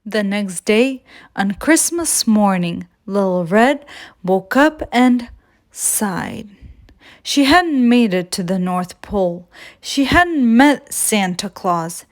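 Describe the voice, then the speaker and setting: A woman hosts a storytime, reading a picture book aloud for children. She takes her time with very slow phrasing and an expressive delivery. Her voice sits at an average pitch. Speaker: children's storytime reader and host.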